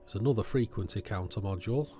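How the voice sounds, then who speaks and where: Low-voiced man giving casual hands-on commentary. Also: fast talker, dark tone, soft background music. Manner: matter-of-fact, unscripted, thinking aloud. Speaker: electronics hobbyist video host.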